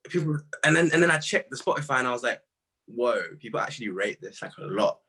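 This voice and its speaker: A man in his twenties with a husky voice, a guest artist chatting casually and telling stories in animated slang. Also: mid-range voice, fast. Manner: animated slangy storytelling, quoting own reactions. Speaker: interview guest, music artist. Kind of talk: casual chat.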